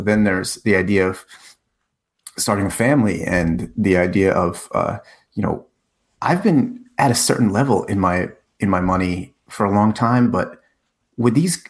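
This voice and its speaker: A man's voice: mid-range voice, creaky. A man in his forties who grew up in the United States, a guest in a casual interview, talking reflectively with frequent restarts and fillers. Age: 40s. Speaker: guest, corporate communication coach. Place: in the Czech Republic.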